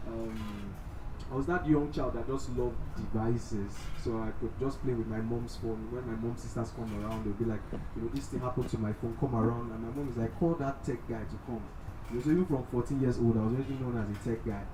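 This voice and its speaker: A man's voice: medium pitch, steady tone, brisk. A young Nigerian man giving a motivational talk to a live audience, often checking in with short tag questions as he goes. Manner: frequently checks in with "right?". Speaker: guest speaker. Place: event space in a mall. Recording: echoey, noisy venue, distant pickup.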